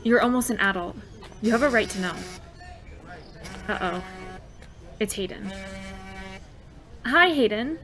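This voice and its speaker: A woman's voice: a little high, expressive, unhurried, pauses often, a bit bright. Frank, protective teenage girl. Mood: anxious, apologetic. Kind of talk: audio drama acting.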